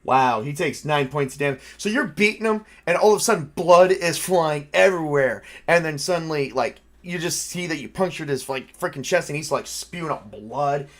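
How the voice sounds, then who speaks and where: A man speaking casually and haltingly, with some swearing, as he narrates and plays an improvised tabletop horror game as game master. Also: fairly high pitch, expressive.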